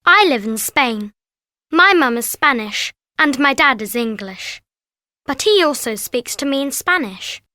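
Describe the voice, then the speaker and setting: A woman voicing a lively young girl who lives in Spain in a scripted textbook listening. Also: high voice, a little slow, no echo, clean studio sound, exaggerated voice. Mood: chatty.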